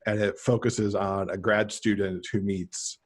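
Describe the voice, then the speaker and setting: Husky, low-voiced middle-aged man. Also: level tone, unhurried. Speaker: guest author. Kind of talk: casual video interview chat. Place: indoor room, video call. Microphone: video call.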